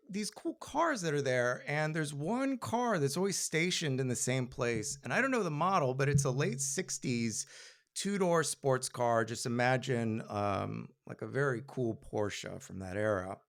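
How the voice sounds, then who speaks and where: A man telling a personal story live on stage in a conversational, halting, self-deprecating way. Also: mid-range voice, fairly bright tone.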